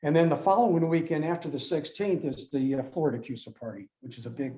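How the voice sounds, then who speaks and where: A man giving an informal club presentation and answering questions as an organizer, with a halting, hesitant delivery. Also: on the high side, warm tone.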